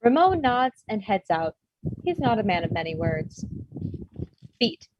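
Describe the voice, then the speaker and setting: A woman's voice: medium pitch, very animated, a little slow. A woman reading stage directions as scripted narration at a comedy table read. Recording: dull, noisy home microphone with clicks.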